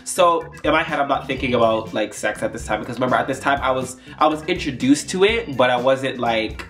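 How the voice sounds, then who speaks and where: A man's voice: mid-range voice, a little fast, fairly bright tone, soft background music. A young man telling a storytime vlog in a rambling, chatty way with lots of fillers, his nose stuffed up. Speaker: YouTuber.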